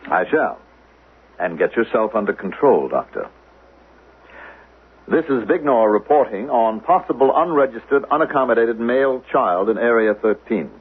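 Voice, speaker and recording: Officious investigator, swooping pitch, old radio. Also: male voice, expressive, normal speed, slightly rough. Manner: formal, precise, commanding. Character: controlled, officious male investigator of a future state. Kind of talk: 1970s radio drama acting. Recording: narrow, lo-fi old radio recording, faint noise.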